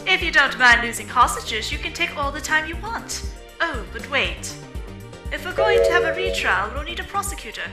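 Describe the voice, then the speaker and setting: A woman's voice: high-pitched, very animated, moderate pace. A woman playing a scornful, menacing hostage taker in a fan dub of a courtroom mystery game, sneering, commanding and taunting. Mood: gleefully menacing. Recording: roomy sound with music behind.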